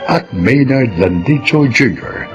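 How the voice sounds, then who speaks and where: A Filipino man announcing a scripted radio drama introduction and credits in a measured, dramatic way. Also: medium pitch, lively intonation, highs cut off. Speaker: announcer and host. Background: loud music.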